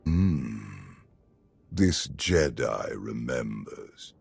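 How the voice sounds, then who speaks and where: An actor playing an imperious, ancient evil emperor in a video game, measured, pompous and detached, with a gravelly, husky voice. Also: male voice, a bass voice, animated, a little mellow, dry recording, heavily acted. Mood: cool curiosity. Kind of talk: dramatic video game acting.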